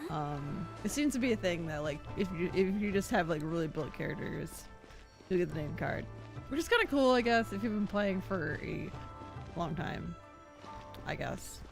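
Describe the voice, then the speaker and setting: A woman's voice: fairly low pitch, lively intonation, normal speed, creaky, over music. A young woman chatting casually and informally as she streams a video game, rambling and giggly. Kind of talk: casual livestream chat and game reactions.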